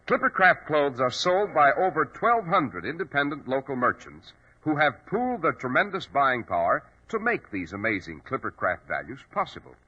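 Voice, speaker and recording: A man's voice: mid-range voice, pushed and bright, husky. A man reading a 1940s radio commercial as an announcer with a polished sales delivery. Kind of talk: 1940s radio advertising read. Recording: dull 1940s radio recording.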